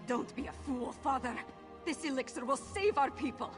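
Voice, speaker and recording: A woman's voice: sits high, moderate pace, lightly acted. An actress playing an earnest, defiant daughter of a king in a fantasy video game, urgent and firm. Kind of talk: dramatic fantasy game acting. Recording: music clearly behind the voice.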